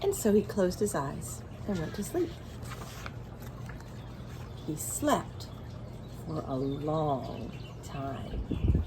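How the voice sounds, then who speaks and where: A woman reading a picture book aloud to young children in an expressive way, engaging her listeners as she goes. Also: low-pitched, background noise. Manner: expressive, pauses to ask listeners questions. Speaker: children's storybook reader.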